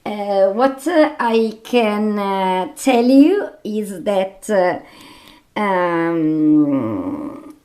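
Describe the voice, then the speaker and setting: A female video host comments off the cuff in non-native English. She speaks very slowly and haltingly, often repeating words, at a medium pitch. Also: animated, warm tone. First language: not English. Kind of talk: unscripted commentary.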